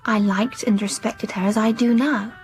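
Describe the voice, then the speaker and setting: A woman playing a poised, wilful young English gentlewoman in a period radio drama, speaking precisely and with self-assurance. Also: on the high side, steady tone, moderate pace, soft background music, natural voice. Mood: earnest.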